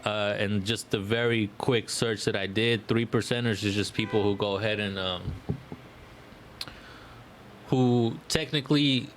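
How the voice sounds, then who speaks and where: A man's voice: fairly low pitch, fairly even intonation, measured pace, a little hiss or hum. A man chatting casually as a podcast co-host, slangy and profane, often tagging on "you know what I mean".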